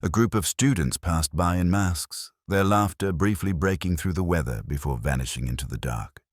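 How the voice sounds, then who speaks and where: A man voicing a melancholy, literary English detective narrating a mystery in a measured, wry, brooding manner. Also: sits low, no echo, studio quality. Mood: wistful, reflective. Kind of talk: scripted first-person mystery narration in radio drama manner.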